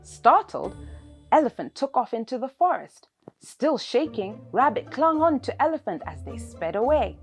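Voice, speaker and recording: A woman reads a children's story as narrator. Her delivery is expressive, with lively, varied intonation, and music is clearly heard behind her voice. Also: fairly high pitch, moderate pace.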